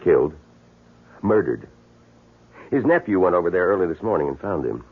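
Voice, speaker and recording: An actor playing a grave, blunt, plain-spoken police sergeant in a 1970s radio drama. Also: male voice, deep voice, sing-song, normal speed, husky, heavily acted. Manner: grave, blunt, matter-of-fact. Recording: muffled lo-fi 1970s radio broadcast.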